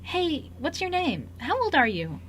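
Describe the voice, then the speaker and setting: A woman playing an eager, lonely five-year-old girl in an amateur radio drama, earnest and slightly halting. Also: high-pitched, big pitch swings, fairly bright tone, full character voice. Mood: delighted. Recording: noisy amateur radio recording.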